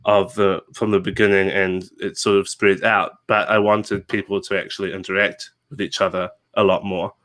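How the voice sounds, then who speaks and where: Bright-voiced young New Zealand man. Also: low voice, moderate pace, hardly any pauses. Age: 20s. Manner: relaxed, rambling with many fillers and restarts. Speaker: guest game designer. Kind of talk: casual interview chat.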